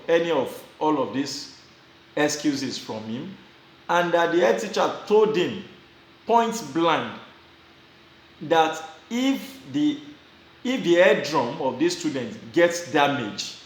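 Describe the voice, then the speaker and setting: A man's voice: fairly high pitch, roomy sound, slight background noise, low-quality recording. A Nigerian man giving casual advice to his viewers, often repeating and restarting his phrases with small chuckles. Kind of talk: casual advice talk to camera.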